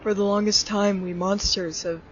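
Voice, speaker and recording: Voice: medium pitch, level tone, normal speed, close to an everyday voice. An actor voicing a laid-back, joking male skeleton monster in a comic dub, talking in a casual, easygoing way. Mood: wistful. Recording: dull lo-fi audio with faint music.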